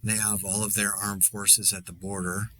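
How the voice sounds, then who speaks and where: A man plays an exasperated survivor podcasting through a zombie outbreak. His voice sits a little low, with a bright, forceful edge. He rambles conversationally in a dry, sarcastic way, as in a fictional solo podcast. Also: flat delivery, normal speed, slight background noise, rough recording. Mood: subdued, wry. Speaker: podcast host.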